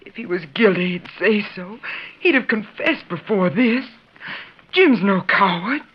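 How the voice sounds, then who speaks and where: A woman acting a loyal, distraught young sweetheart in an old radio western, halting and tearful. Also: mid-range voice, lively intonation, husky. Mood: tearful, devoted. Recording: muffled, noisy old radio recording.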